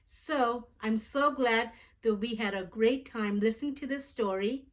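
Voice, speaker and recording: A woman with a fairly high voice reads aloud for young children. She takes her time, phrasing each part deliberately and expressively, as a storytime host does. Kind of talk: children's picture book read-aloud.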